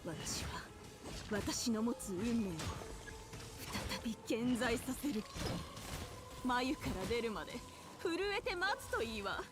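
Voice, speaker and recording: A haughty game villainess voiced by a woman speaks with cruel elegance and mocking theatrical flair. Her voice sits fairly high and sweeps widely in pitch. Loud game music runs underneath. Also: animated, moderate pace, long pauses, natural voice. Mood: seething, threatening. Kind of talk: Japanese video game voice acting.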